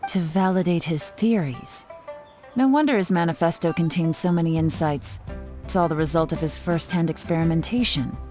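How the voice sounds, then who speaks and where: A woman playing a shrewd, composed, commanding spymaster in a video game, measured and curt. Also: fairly low pitch, warm tone, lightly acted. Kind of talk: video game character acting. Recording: clear music behind.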